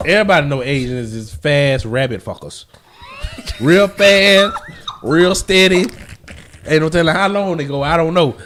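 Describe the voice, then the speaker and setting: A man's voice: a little high, animated, moderate pace, fairly bright tone, slight background noise. A man co-hosting a podcast, rambling and joking in casual, profane slang. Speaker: podcast co-host.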